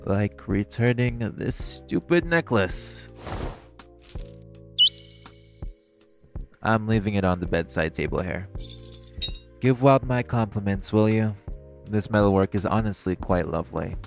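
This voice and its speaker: A man playing a teasing, flirtatious vampire in an intimate audio roleplay, smug yet protective. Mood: teasing, admiring. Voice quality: low, gravelly voice.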